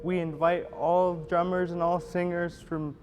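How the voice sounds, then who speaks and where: Man from British Columbia, high level voice, formal public address, echoing hall with clear noise. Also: flat delivery, unhurried. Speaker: First Nations event speaker.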